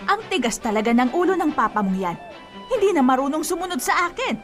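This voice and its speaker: Fretful, high-voiced Filipino grandmother. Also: expressive, fast talker, faint music behind. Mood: scolding, anxious. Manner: scolding, fretful, insistent. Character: superstitious, worrying, insistent elderly Filipino grandmother. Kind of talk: radio drama acting.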